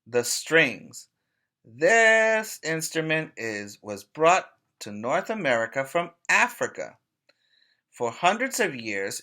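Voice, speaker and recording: Male preschool teacher, read-aloud delivery, unhurried pace, emphatic, mid-range pitch, bright tone. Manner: deliberate, emphatic, pausing to ask questions. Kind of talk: read-aloud lesson for young children.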